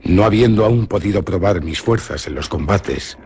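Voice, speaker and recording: Brief: a young noble hunter from Spain, boastful yet frightened, low, very expressive radio drama delivery. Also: male voice, moderate pace, slight background noise. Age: young adult. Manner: dramatic, swings from boastful to fearful.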